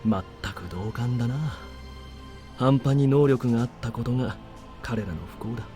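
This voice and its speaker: An actor playing a cool, commanding officer in a Japanese visual novel, curt, composed and dryly sardonic. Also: male voice, medium pitch, fast, lightly acted. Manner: curt, composed, quietly menacing. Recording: game music under the voice.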